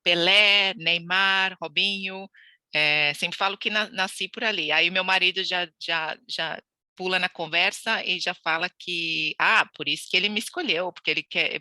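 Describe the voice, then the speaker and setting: Bright, lower-pitched Brazilian woman. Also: no echo. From Brazil (Santos). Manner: chatty, laughing storyteller with many restarts. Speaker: podcast guest, immigrant. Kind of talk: casual interview chat.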